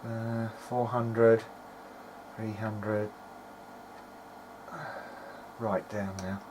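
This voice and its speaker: A man's voice: lowish voice, level tone, drawn-out words, a little mellow. A man giving an informal, hesitant video-diary narration as he tests equipment, thinking aloud in a noisy amateur recording. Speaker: hobbyist electronics repairer.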